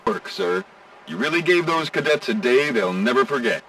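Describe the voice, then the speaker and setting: A dutiful young military plane in an animated game. He is inquisitive and formally earnest, with a creaky voice and lively, shifting pitch. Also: moderate pace, distant mic, exaggerated voice, low-quality recording.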